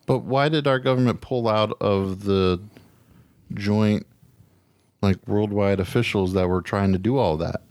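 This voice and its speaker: A man's voice: on the low side, measured pace, darkish tone, dry recording. An American man co-hosting a podcast in a casual comic rant, exasperated, sarcastic and profane. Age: adult, parent of children. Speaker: podcast co-host.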